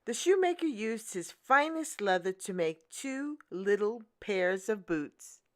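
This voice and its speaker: A woman reads a children's fairy tale aloud at a slow, deliberate pace. Her mid-range voice rises and falls animatedly as she narrates. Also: medium pitch, dry recording, clean studio sound. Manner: deliberate, expressive. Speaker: storyteller, children's read-aloud channel host. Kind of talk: scripted storybook narration for children.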